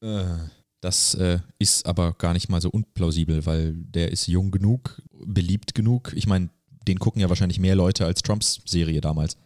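A man's voice: low-pitched, steady tone, normal speed, a little mellow, no echo, studio quality. A man co-hosting a podcast in a casual, chatty way. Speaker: podcast co-host.

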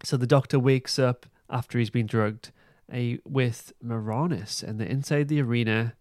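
A man hosting a podcast, giving a casual recap in an informal, halting way. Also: mid-range voice, steady tone, darkish tone, no room sound, studio quality. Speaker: podcast host. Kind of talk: casual plot recap and review.

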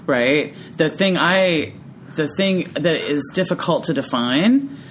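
A woman with a notably deep, low voice talks through ideas in a relaxed podcast chat. She thinks out loud, keeps tagging her points with "right?", and breaks into easy laughter. Faint background noise sits under her voice. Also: slow. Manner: tags thoughts with frequent "right?"; laughs easily, animated when amused. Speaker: podcast co-host. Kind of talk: reflective casual conversation.

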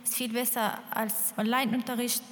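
Halting, higher-voiced woman. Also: level tone. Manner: halting, simple phrasing. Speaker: student. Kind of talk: personal statement. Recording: long hall reverb, faint background hiss.

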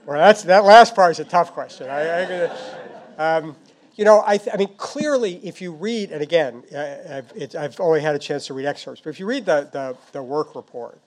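A man answering questions as a foreign policy expert, speaking off the cuff in a halting, thoughtful, hedging way. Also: on the high side. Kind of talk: unscripted answers at a policy discussion.

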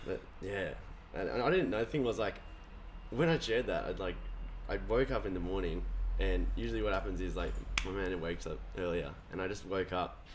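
A man's voice: sits low, a little fast, slight background noise. A young Australian man, a guest musician, chatting casually in a rambling, laid-back way with lots of swearing and fillers. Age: young adult. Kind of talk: casual podcast chat.

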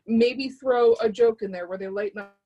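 A woman's voice: fairly high pitch, moderate pace, dark tone, lo-fi sound. A woman offering feedback in a casual workshop discussion, politely and tentatively. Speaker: participant giving feedback.

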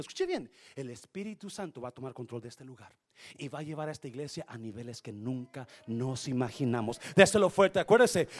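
A male pastor with a Spanish accent preaches with a high, lively voice that climbs and falls all over. He mixes Spanish and English mid-sentence and moves between hushed lines and full shouts. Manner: swings from quiet to shouting. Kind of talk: bilingual sermon.

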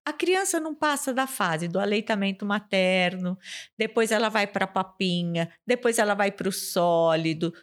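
A woman's voice: medium pitch, expressive, normal speed. A woman with a Brazilian Portuguese accent, a speech therapist guest on a podcast, explaining things patiently and repeating key terms. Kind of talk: podcast interview answers.

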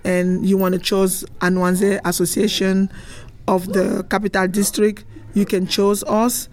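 Woman, direct community leader, average pitch. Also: flat delivery, a little slow, a little mellow. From Cameroon. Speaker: association president. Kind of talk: casual interview remarks.

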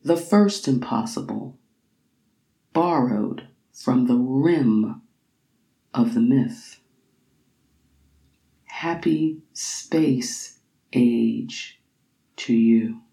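A woman reading literary, scholarly prose aloud in a measured, deliberate way, with expressive phrasing and drawn-out words. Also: very deep voice, vocal fry, reverberant, poor audio. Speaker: reader of a book excerpt.

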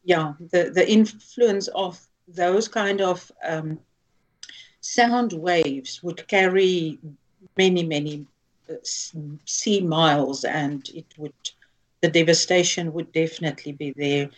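Slow, low-voiced woman. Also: fairly even intonation, low-quality recording. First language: Afrikaans. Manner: hesitant, earnest, many fillers and restarts. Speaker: poet guest. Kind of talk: interview conversation and poetry reading.

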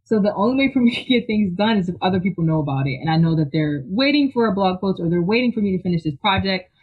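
A person from Philadelphia, a guest talking in an enthusiastic, chatty way full of "like" and quick laughs. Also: mid-range voice, animated, slightly rough, narrow, tinny audio. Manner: enthusiastic, chatty, filler-heavy, laughs often. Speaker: guest, technical writer. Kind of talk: casual interview chat.

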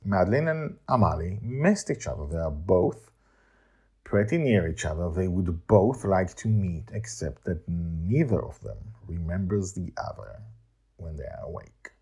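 A middle-aged man hosting a solo podcast, talking informally to listeners in an emphatic, halting way with swings in loudness. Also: sits low, sing-song, husky. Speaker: podcast host and author.

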